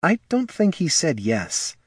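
A man's voice: on the high side, wildly swooping pitch, a little slow, husky, no echo, clean studio sound, pitched above the performer's own voice, exaggerated voice. A man doing a level-headed female adventurer. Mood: understated.

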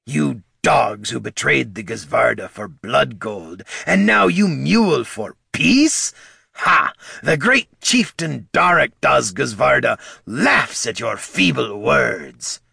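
A man's voice: mid-range voice, lively intonation, slow pace, vocal fry, pushed and bright, slightly rough, no echo, studio quality, pitched above the performer's own voice, heavily acted. A man voicing a cruel, sneering henchman of an orc chieftain in an audiobook, with a foul, mocking, theatrical voice. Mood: scornful. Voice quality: foul, shrieking voice. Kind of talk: audiobook character voice.

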